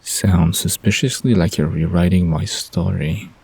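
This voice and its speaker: An easygoing, amused young man, played by a male narrator in a bedtime audio drama. His voice is deep and gravelly, unhurried and intimate, with gentle guitar underneath. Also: fairly even intonation, heavily acted. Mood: teasing. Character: Jimmy, amused, easygoing young man musing about fate. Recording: soft guitar music behind the voice.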